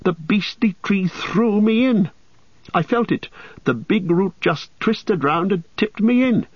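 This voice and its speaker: Guy doing a gentle little hobbit from a storybook, worked up and plaintive, high voice, dull recording. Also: very animated, a little fast, husky, no room sound, exaggerated voice. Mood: shaken, puzzled. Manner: agitated, plaintive.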